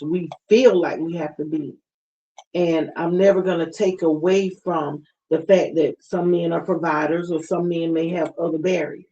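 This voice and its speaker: Soft-spoken lady guest, slow and warm, heartfelt, kinda muffled audio. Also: on the low side. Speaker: guest, parent advocate. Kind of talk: interview talk.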